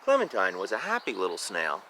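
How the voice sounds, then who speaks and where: Male storybook reader, bright voice, lively swings in pitch, informal asides, recorded outdoors with faint background noise. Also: a little fast, poor audio. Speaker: reader of a children's picture book. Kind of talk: read-aloud storytelling with casual asides about the pictures.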